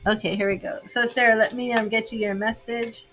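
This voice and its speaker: A chatty American woman in her fifties reads tarot cards live for her viewers. Her voice sits a little high, and she laughs easily as she talks. She fills her pauses with relaxed "um"s and casual asides. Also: slight background noise. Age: 50s. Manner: chatty, laughs often, many fillers. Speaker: tarot reader hosting a livestream. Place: home with grandchildren and birds in earshot.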